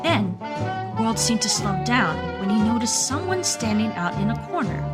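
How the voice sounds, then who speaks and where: A woman narrator reading a scripted dramatic story for a radio play. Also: medium pitch. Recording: loud music under the voice. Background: loud music.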